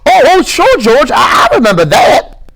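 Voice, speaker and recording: A big, simple-minded man with the heart of a child, stammering and pleading in a very high, anxious voice, as a male reader puts him on. He sounds timid and eager to please, tripping over his words in this storybook reading. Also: very animated, moderate pace, vocal fry, noisy background, exaggerated voice. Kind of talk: audiobook character reading.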